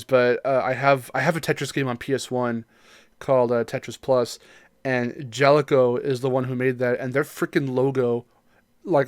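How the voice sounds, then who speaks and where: A podcast co-host with a middling pitch talks casually about games. The delivery rambles like a story told among friends. The sound is dry, with no room echo.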